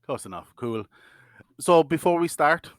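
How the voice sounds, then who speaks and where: A man hosting a podcast, asking questions in a friendly, energetic way. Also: fairly high pitch, unhurried, no room sound, studio quality. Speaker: podcast host. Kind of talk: casual podcast interview.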